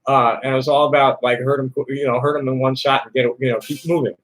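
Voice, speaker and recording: A man hosting a live call-in stream, rambling in a halting way with many fillers and restarts. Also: mid-range voice, level tone, fairly bright tone, rough recording. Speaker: livestream host answering chat questions. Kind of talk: rambling casual chat. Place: Tucson, Arizona.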